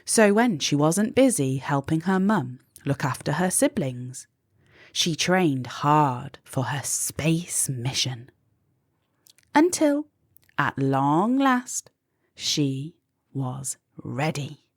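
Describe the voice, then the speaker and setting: Very slow, expressive woman storyteller. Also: low-pitched, no room sound, clean studio sound. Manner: deliberate, emphatic pauses between phrases. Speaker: children's audiobook narrator. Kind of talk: expressive scripted storybook narration.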